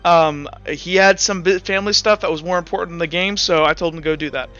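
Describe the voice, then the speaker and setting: A man's voice: on the high side, steady tone, quick pace, a bit bright, clear, resonant tone. A young man as game master, narrating the scene in second person with hesitant fillers and restarts. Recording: slightly muffled, with faint music.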